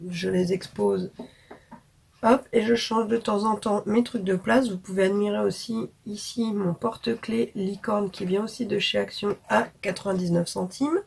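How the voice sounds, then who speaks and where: A woman vlogger, hoarse from being sick, chatting frankly and casually about cheap gadgets and makeup to her viewers with many fillers. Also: mid-range voice, darkish tone. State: hoarse from illness. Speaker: beauty vlogger. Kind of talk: casual haul chat to camera.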